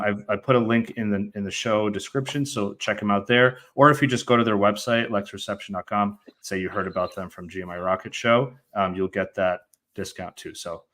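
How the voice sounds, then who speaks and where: A man's voice: lowish voice, fairly bright tone. A man hosting an interview show, asking long rambling questions full of fillers. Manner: rambling questions full of "you know" and restarts. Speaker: podcast host and immigration lawyer.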